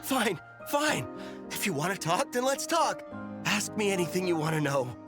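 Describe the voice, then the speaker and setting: A surly thug from a video game, curt and grudging. His mid-pitched speech swings up and down, over clear background music. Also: sing-song, normal speed.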